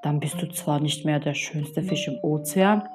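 A woman voicing a wise old octopus in a storybook, with a deep, slow and solemn voice, soft music behind.

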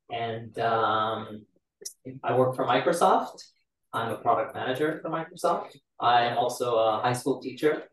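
A man, telling his life story in a relaxed way on a podcast. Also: medium pitch. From Israel. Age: 40s. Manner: relaxed, storytelling, many "uh" fillers. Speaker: guest. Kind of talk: casual podcast interview. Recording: roomy, distant mic with faint noise.

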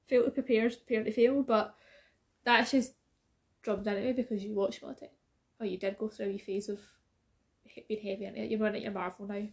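A woman's voice: mid-range voice, fairly even intonation, fairly quick, pauses often. A Scottish woman in her thirties co-hosting a film podcast in casual chat, teasing and laughing often. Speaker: podcast co-host.

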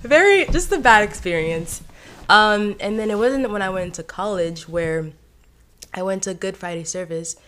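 A woman's voice: medium pitch, animated, normal speed, a bit bright, slight background noise. A woman in her twenties from Haiti, a podcast guest telling her personal story in a candid, casual way, laughing often and using lots of fillers. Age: 20s.

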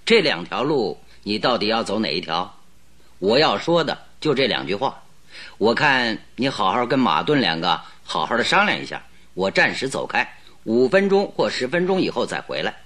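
A cool, confident detective in an old radio drama. His pitch swings widely while he lays out terms with quiet, wry assurance. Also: big pitch swings, moderate pace. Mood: stern.

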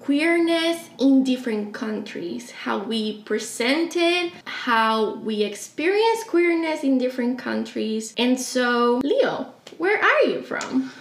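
A young woman from Chile hosting a podcast in a casual, friendly, unhurried way with some fillers. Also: high-pitched, animated, roomy sound. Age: young adult. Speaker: podcast host.

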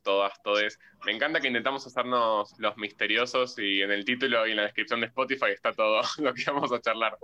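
A man's voice: medium pitch, pushed and bright, clean tone, muffled recording. A man chatting casually as a podcast co-host. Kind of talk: casual chat.

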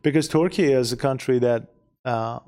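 A man's voice: mid-range voice, moderate pace, darkish tone. A middle-aged man from Iran, chatting casually as a podcast guest, often repeating words while he searches for phrasing. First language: Persian. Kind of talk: casual conversation.

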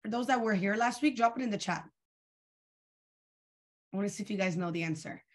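A young woman leading a live online coaching session, energetic and asking her audience questions, her voice a little tired and worn. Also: medium pitch, a little fast. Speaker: coach leading a weekly training call.

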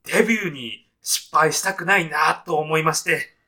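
A man's voice: a little high, moderate pace, bright, forceful tone, heavily acted. A man playing a timid, straitlaced salaryman in a comic radio sketch, speaking politely, hesitantly and with reserve. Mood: hesitant, uneasy. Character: timid, straitlaced salaryman newly arrived in heaven.

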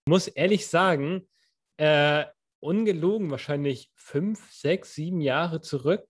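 A man's voice: fairly high pitch, a little slow, slightly rough. A young man co-hosting a podcast, talking in a loose, rambling way with many fillers and restarts. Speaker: podcast co-host. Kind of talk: casual chat.

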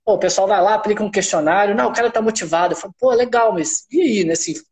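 A man with a Minas Gerais accent talks as a guest on a casual podcast, his voice sitting a bit higher than most men's. He rambles freely and keeps checking in with his listeners through little tag questions. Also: racing. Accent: Minas Gerais Brazilian Portuguese. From Minas Gerais, Brazil. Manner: chatty, frequent tag questions, animated. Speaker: researcher guest.

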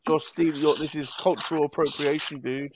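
A man's voice: a little high, fairly even intonation, normal speed, very noisy. A man co-hosting a live stream who banters with mock indignation in a casual group chat.

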